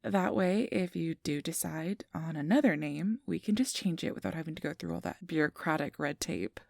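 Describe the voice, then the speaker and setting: A woman playing a friendly, easily flustered registration clerk in a soft-spoken ASMR roleplay, gentle, hesitant and reassuring. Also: sits low, normal speed, gravelly, dry recording, clean studio sound. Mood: gentle, encouraging. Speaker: interviewer.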